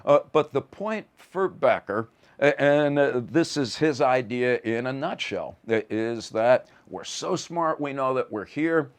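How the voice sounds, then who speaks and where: A man's voice: mid-range voice, animated, unhurried, clean tone. A man giving a conversational lecture in a wry, vivid, emphatic manner, often stammering on the starts of words.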